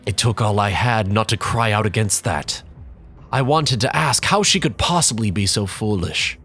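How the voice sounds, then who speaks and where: A man with a very deep voice reads a dramatic first-person monologue. His delivery is measured and reflective, with a bitter edge. Soft music plays quietly behind him. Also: lively intonation. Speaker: reader.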